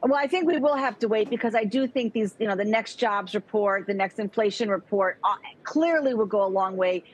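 A middle-aged woman economist speaking as a guest in a live TV interview over a remote video link, talking quickly with frequent restarts and repeated words. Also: mid-range voice, low-quality recording. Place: home room with plant.

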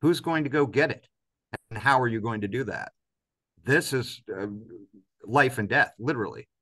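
A man in his fifties with a bright, forceful voice answers in an interview over a video call. He speaks reflectively, stressing points and posing rhetorical questions at a medium pitch. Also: animated, normal speed. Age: 50s. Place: indoors, large office-like room, on a video call.

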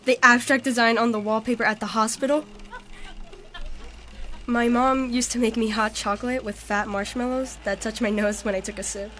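A wistful, bookish young woman, played by an actress speaks in a slightly high voice in an audio drama. Faint background ambience of a cafe sits behind her. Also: steady tone, normal speed, fairly bright tone, a little hiss or hum, close to an everyday voice. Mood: wistful, hurt. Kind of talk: naturalistic audio drama dialogue.

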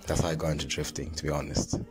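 Very deep-voiced young Zimbabwean man. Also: flat delivery, fast. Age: young adult. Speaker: vlogger, drift fan page host. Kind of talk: casual chat to camera. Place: small plain indoor room. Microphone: phone held close to the mouth. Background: background music.

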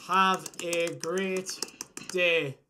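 A man's voice: high-pitched, steady tone, extremely slow, bright, forceful tone, slight background noise. A British man in his twenties chatting casually with strangers as a magician. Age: 20s. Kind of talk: casual chat with strangers on video. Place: small room at home.

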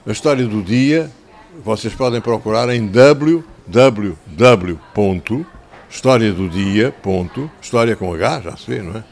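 An older man with a European Portuguese accent and a slightly hoarse voice, talking to schoolchildren as an author, conversational and didactic, repeating phrases for emphasis into a handheld microphone. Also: on the low side, lively intonation, measured pace, a little mellow. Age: 60s or older. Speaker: children's author. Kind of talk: talking and telling stories to schoolchildren. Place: covered outdoor tent in a town square.

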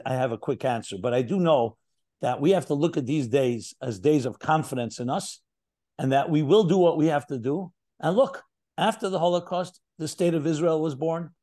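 An older American man talks as a rabbi over a video call from a home study. His voice is mellow and rather high for a man. He speaks earnestly in short searching phrases, often restarting a thought. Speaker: rabbi leading an online session. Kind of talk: reflective spiritual talk.

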